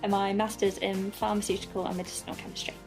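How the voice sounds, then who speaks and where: A woman in her twenties talks about her campus life as an election candidate. She is chatty and fond, filling pauses with ums, with soft music playing underneath. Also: medium pitch, flat delivery. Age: 20s. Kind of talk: casual interview answers.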